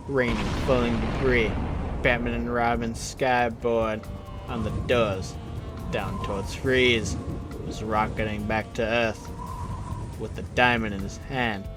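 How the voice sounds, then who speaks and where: A man's voice: steady tone, measured pace, music in the background. A man narrating an audio drama as scripted action, theatrical and dramatic in a present-tense play-by-play. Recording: noisy, vehicle rumble and ambience behind.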